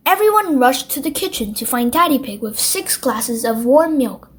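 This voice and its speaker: A woman reading a children's bedtime storybook aloud as narrator, with expressive delivery. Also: high voice, moderate pace, husky.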